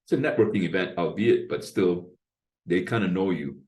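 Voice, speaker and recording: A man in his sixties with a deep bass voice, talking at length as an interview guest and explaining his approach point by point. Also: expressive, normal speed, darkish tone. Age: 60s. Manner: talkative, explains with lists and asides. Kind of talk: casual interview talk.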